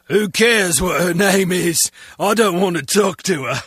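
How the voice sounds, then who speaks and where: Raspy, cocky henchman. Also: high voice, measured pace, a bit bright, no echo, studio quality, exaggerated voice. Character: crude, cocky male henchman guard in spy game. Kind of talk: video game dialogue acting.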